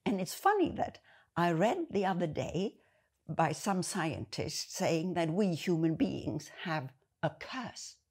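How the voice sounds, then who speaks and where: Slow, low-voiced German-accented woman. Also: sing-song, a little mellow, breathy edge. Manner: slow, deliberate, explanatory. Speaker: speaker. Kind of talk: measured spoken commentary.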